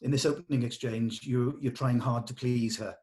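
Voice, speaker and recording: A man leading a script table read, explaining notes to actors and often pausing mid-thought. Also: medium pitch, steady tone, darkish tone.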